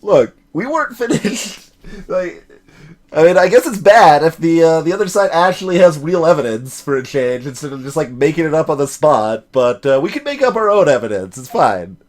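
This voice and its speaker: A man's voice: a little high, lively intonation, moderate pace. A man giving casual, joking gameplay commentary as a let's player, with a wry manner.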